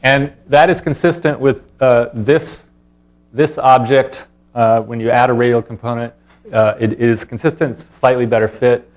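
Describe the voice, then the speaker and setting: A man presenting research as a scientist at an academic talk, explaining informally in a halting, hesitant way. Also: mid-range voice, fairly even intonation.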